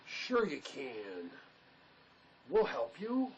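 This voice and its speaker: A man acting an awkward, sympathetic drifter in a drama, gentle and halting. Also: a little high, wildly swooping pitch, highs cut off, a little hiss or hum, full character voice. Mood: consoling. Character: awkward, sympathetic male drifter, consoling friend. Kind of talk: stage-style dramatic play acting.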